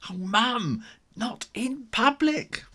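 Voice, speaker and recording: A man's voice: very high voice, lively intonation, takes it slowly, sharp tone, pitched above the performer's own voice, heavily acted. A man voicing an embarrassed young boy kitten in a children's storybook, protesting in a whiny way.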